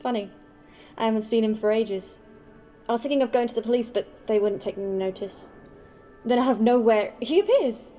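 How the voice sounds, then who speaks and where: A woman acting a kind, stubbornly loyal homeless woman in an amateur radio play, plain-spoken, colloquial and a little halting. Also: fairly high pitch, mellow, faint music behind, close to an everyday voice. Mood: quietly reflective. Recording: dull lo-fi home recording, faint noise.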